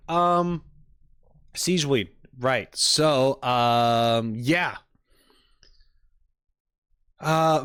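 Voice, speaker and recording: A man's voice: medium pitch, smooth, dry recording, studio quality. A man streaming casual reaction commentary, thinking aloud informally as he talks to chat.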